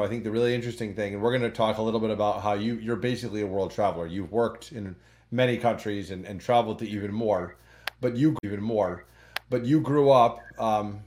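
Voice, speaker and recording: Low-voiced rambling podcast host. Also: male voice, darkish tone. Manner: rambling, many restarts and fillers, clears throat. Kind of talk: conversational interview.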